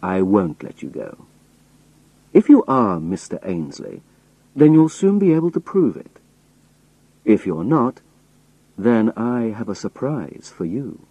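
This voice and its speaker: A man voicing a sinister old spy chief in a story, calm, polite and quietly menacing. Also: lowish voice, slow pace, long pauses, slightly rough, dry recording, heavily acted, rough recording. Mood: cold command.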